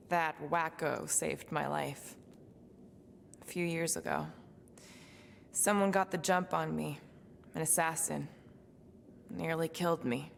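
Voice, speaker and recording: A weary, wistful female assassin from space in a cinematic video game. Her voice sits low with a gravelly fry, guarded and reflective, pausing as she goes. Faint background noise sits behind her. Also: full character voice.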